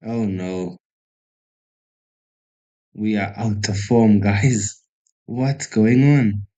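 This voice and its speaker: A man from South Africa talks through his chess moves at a slow, unhurried pace, his voice sitting fairly low. He thinks aloud, makes fun of his own play and stops to greet people in the chat. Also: warm tone, low-quality recording. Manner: thinks aloud slowly, chatty with viewers, self-deprecating. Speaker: chess streamer. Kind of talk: casual live-stream commentary.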